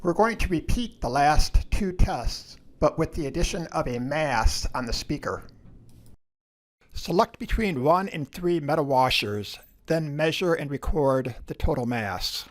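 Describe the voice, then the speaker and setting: A man with a moderately high-pitched voice narrates a scripted technical lesson. He moves through each instruction in a measured, procedural way, as a lab instructor would. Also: lively intonation.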